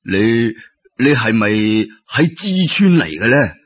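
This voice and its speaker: A man playing an eager, deferential innkeeper who asks hesitant, stammering, drawn-out questions. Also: mid-range voice, big pitch swings, breathy edge, voice pushed down, exaggerated voice. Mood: probing, excited. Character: eager, inquisitive male innkeeper, deferential to guests. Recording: dull lo-fi radio-style recording.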